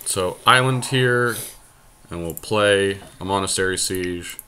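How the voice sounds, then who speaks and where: A man's voice: sits low, unhurried, bright, forceful tone, clear, resonant tone. A man giving casual commentary on an online card game, thinking aloud as he talks through each move. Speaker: podcast host playing an online card game.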